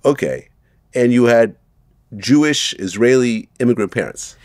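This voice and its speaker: A man's voice: mid-range voice, lively intonation, a little slow, darkish tone, no echo, studio quality. A middle-aged man hosting an interview, asking friendly conversational questions. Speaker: interview host.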